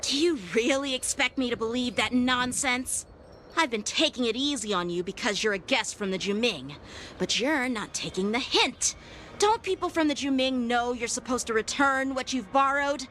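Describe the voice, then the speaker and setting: A woman's voice: a little high, normal speed, a bit bright, slight background noise, close to an everyday voice. A woman playing an earnest, hot-headed and proud teenage boy swordsman in an anime video game, emphatic and formal. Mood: indignant.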